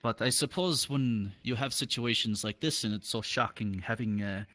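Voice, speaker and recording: A man improvising in-character roleplay dialogue, speaking in a halting, reflective way with dropped articles. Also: mid-range voice, pushed and bright, no echo, faint music behind. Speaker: roleplayer in an online game.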